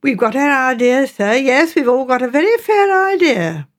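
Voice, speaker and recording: Woman playing a deferential village wife, lively pitch. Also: moderate pace. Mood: confiding, certain. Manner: deferential, emphatic dialect speech. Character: rural village woman, deferential, sure of gossip.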